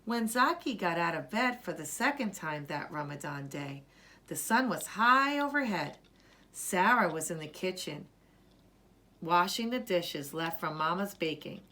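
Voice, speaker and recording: A woman narrating a children's story as a storyteller, with deliberate, expressive delivery. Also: fairly low pitch.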